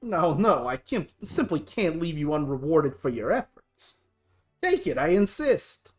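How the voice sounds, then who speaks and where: A man's voice: high-pitched, fairly quick, echoey room, faint music behind, close to an everyday voice. A man voicing a polite, grateful theatrical performer in a game, speaking in a formal, courteous way. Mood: gracious, insistent.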